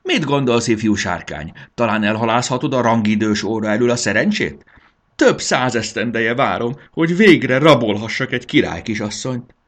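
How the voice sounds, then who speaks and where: A man voicing a cantankerous, blustering ancient dragon from a children's storybook, with a croaking, bellowing delivery. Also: lowish voice, huge pitch swings, sharp tone, full character voice. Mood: indignant. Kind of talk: dramatized children's storybook reading.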